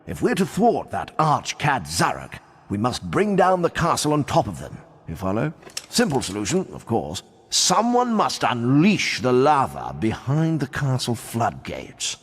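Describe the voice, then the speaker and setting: A man's voice: mid-range voice, huge pitch swings, a little slow, husky, heavily acted. An actor playing a jovial, blustering old-fashioned king with a plummy, pompous upper-class British accent in a video game. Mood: conspiratorial. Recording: long echoing hall reverb.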